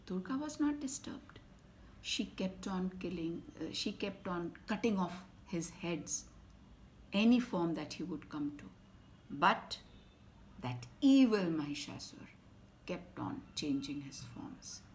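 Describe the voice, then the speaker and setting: Indian woman storyteller for kids, lowish voice, expressive, faint room ambience. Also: takes it slowly, slight background noise. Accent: Indian English. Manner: dramatic, swings between loud emphasis and soft whispers. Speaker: storyteller for children. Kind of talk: expressive bedtime story reading.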